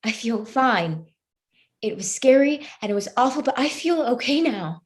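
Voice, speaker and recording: A woman's voice: on the high side, expressive, normal speed, natural voice. A young woman acting an anxious, defensive student who rambles and apologises in a naturalistic stage play reading. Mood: anxious, insistent. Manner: rambling, apologetic, stammering under pressure.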